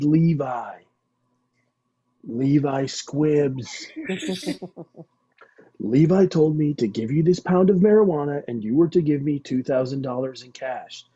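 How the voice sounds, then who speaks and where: A man playing a naive, nervous young drug courier in a comedy table read, halting, earnest and over-polite. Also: a little high, dark tone, husky, lo-fi sound.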